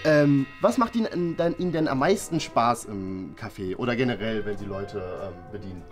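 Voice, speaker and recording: A young man acts out an eager, easily flustered father running a small café in a family comedy sketch. His pitch jumps around in an animated way as he hesitates and stumbles over words. Music plays clearly behind him. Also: normal speed, natural voice. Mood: eager, polite. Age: young adult. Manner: hesitant, stammering, excitable. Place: small indoor office room.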